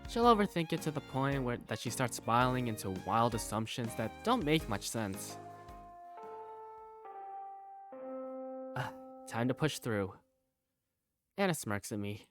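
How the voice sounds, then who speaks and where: Man as Simon, animated student narrator over music. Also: mid-range voice, normal speed, close to an everyday voice. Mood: reflective. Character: Simon, curious, self-doubting high school student narrator. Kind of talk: first-person scripted narration, amateur visual novel fandub.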